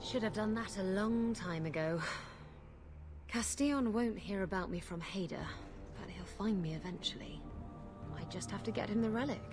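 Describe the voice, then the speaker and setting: An actress playing a brash, flirtatious pirate captain in a video game cutscene, teasing and sardonic. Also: female voice, mid-range voice, normal speed, over music, close to an everyday voice. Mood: defiant.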